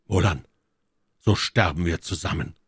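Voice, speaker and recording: A man playing an infatuated, distraught young lieutenant, fervent and hushed, in an audiobook story. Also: deep voice, unhurried, slightly rough, no room sound, heavily acted. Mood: resolute.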